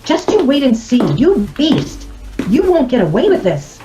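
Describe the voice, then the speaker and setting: A woman's voice: sits high, wildly swooping pitch, a little slow, reverberant, music in the background, full character voice. A woman playing an anxious, lonely middle-aged wife in a radio play, speaking in a proper, theatrical manner. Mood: indignant. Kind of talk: radio drama acting.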